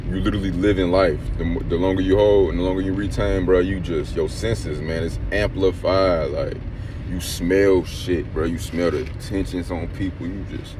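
A man's voice: sits low, steady tone, normal speed. A young man talking casually and rambling into a phone held at arm's length in a car at night. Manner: laid-back, rambling, slangy. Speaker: vlogger. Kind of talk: casual motivational vlog. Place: inside a parked car at night. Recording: noisy phone recording in a car.

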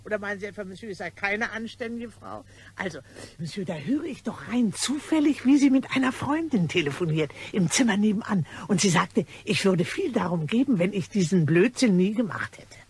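A nosy, chatty cleaning lady in a radio crime drama. Her voice is rough and husky. She rambles on in a gossipy, exclamatory way while claiming to be discreet. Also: medium pitch, expressive, fairly quick. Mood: confidential, gossipy.

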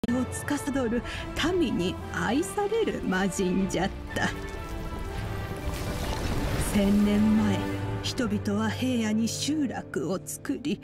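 A woman's voice: mid-range voice, lively intonation, drawn-out words, music in the background. A woman playing a wise, kindly elderly sage, telling legends in archaic, solemn speech for a Japanese video game. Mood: solemn storytelling.

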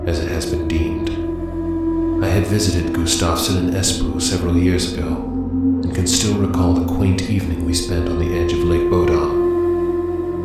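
A man with a very low voice narrates dark fiction in a grave, measured way. His voice rings in a roomy echo. Loud music plays underneath. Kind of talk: scripted horror fiction reading.

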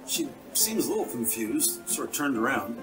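A man's voice: mid-range voice, very animated, moderate pace, far from the mic, over music, rough recording. A man reading a scripted present-tense detective story as its narrator.

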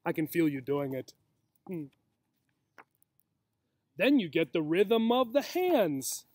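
A man reads a picture book to young children at a very unhurried pace. His voice sits fairly high for a man, and he talks simply and encouragingly. He is recorded outdoors at arm's length, with no echo at all. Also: sing-song, a little mellow. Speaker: teacher reading a picture book aloud. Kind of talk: children's read-aloud. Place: outdoors in a grassy yard. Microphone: camera or laptop mic at about arm's length.

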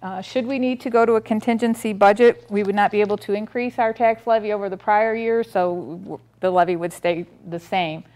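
A woman's voice: mid-range voice, steady tone, fairly quick, few pauses, a little mellow. Woman presenting a school budget in a meeting room. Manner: methodical, reads figures with frequent ums and restarts. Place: board meeting room, standing by a projector screen. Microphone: far camera, room audio.

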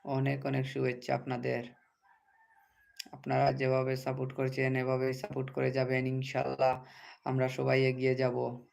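A man's voice: medium pitch, monotone, moderate pace, creaky, darkish tone. A man hosting a casual livestream, speaking directly to commenters and often repeating his phrases. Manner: repetitive, addressing commenters directly. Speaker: livestream host. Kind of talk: casual livestream chat with viewers.

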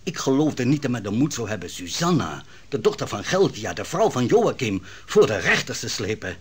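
A man's voice: mid-range voice, big pitch swings, moderate pace, husky. An actor playing a worried, brooding man reporting on the trial in an old radio drama.

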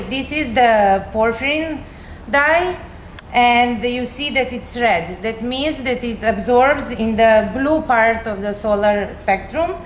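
A young woman with a Serbian accent and a fairly high voice explains science informally in an echoey room. She speaks simply and a bit hesitantly, like a researcher walking someone through her work, with faint noise in the recording. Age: young adult. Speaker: chemistry researcher, recent graduate.

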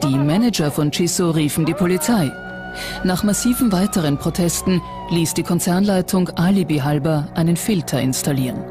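A documentary narrator reading a script in a measured, serious manner. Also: medium pitch. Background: music under the voice.

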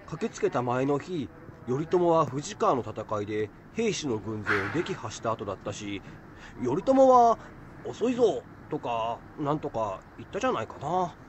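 Man playing an animated, earnest schoolboy. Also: mid-range voice, moderate pace, noisy background, close to an everyday voice. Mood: eager explaining. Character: earnest history-loving schoolboy, modest and eager. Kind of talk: amateur radio drama.

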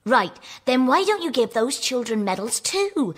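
A kindly housekeeper in an audio story. She is brisk and matter-of-fact, with a fairly high, lively voice. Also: breathy edge, exaggerated voice.

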